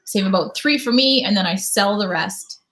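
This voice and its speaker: A woman's voice: medium pitch, normal speed, a bit bright. Chatty woman live streaming from home. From Canada. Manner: chatty, reads viewer comments aloud and answers them. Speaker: YouTube host. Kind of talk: casual live stream chat.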